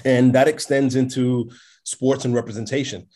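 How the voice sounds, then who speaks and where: A man, a podcast guest telling long stories in a relaxed interview. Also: mid-range voice, normal speed. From North America. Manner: expansive storyteller, checks in with "right?". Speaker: podcast guest, sports agent. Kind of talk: casual interview chat.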